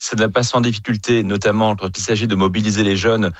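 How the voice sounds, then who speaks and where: The lowish-voiced professor from France, with the accent to match, that a news programme calls up for analysis, heard clean and dry. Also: male voice, fairly even intonation, fast, no room sound, dull audio. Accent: French from France. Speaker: geopolitics professor, expert guest. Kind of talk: analytical interview answers.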